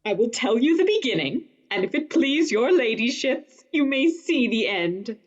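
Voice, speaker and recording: A woman's voice: a little high, sing-song, moderate pace, slightly rough, highs cut off. A woman playing an affected courtier bearing news in a Shakespearean radio play. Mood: affected, courtly.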